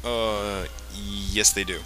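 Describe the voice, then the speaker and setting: A man giving casual, explanatory gameplay commentary in a halting way, as the host of a let's play. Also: lowish voice, little pitch movement, bright, forceful tone. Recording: game music behind the voice. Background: video game music.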